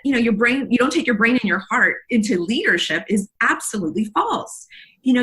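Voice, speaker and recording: A woman's voice: mid-range voice, a bit bright. A woman in her forties from the South Texas Mexican border, Spanish her first language, a leadership coach answering interview questions in a rambling way full of fillers and restarts.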